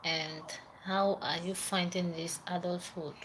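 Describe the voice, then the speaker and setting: A woman's voice: fairly low pitch, flat delivery, a little slow, fairly bright tone, a little hiss or hum, low-quality recording. Chatty young Nigerian woman livestreaming. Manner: chatty, repeats herself, greets viewers by name. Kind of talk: casual live-stream chat.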